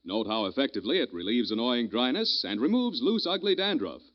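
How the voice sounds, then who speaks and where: Casting: American male radio pitchman, polished and emphatic, lively swooping delivery, muffled old broadcast. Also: a little fast. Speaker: radio announcer and sponsor pitchman. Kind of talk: 1940s radio announcing, scripted sales pitch.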